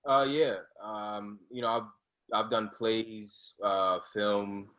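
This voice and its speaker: Male acting student, low-pitched, casual, halting delivery. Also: fairly even intonation, a bit bright, lo-fi sound.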